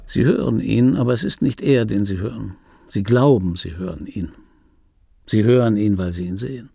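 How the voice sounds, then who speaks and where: An actor playing a wry, self-important male film dubbing artist who recounts his life in a radio play monologue, with dry irony and pointed, repeated phrasing, in a gravelly, husky voice. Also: on the low side, lively intonation, normal speed, mellow, no echo, soft background music, heavily acted. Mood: sardonic, confiding. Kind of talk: intimate radio drama monologue.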